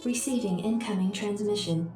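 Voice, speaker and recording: A woman's voice: medium pitch, normal speed, close to an everyday voice. A woman voicing a formal, detached military computer adjutant, giving clipped announcements in a game briefing. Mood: impassive, official. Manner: clipped, impassive. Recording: echoing, distant, music behind.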